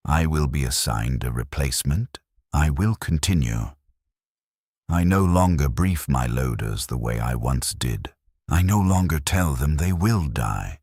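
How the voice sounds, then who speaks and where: A man narrating in character as a scarred, war-numbed veteran heavy gunner in a sci-fi story, grim, detached and resigned, with a gravelly voice. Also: extremely low pitch, a little mellow, no echo, studio quality, exaggerated voice. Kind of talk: scripted first-person dramatic narration.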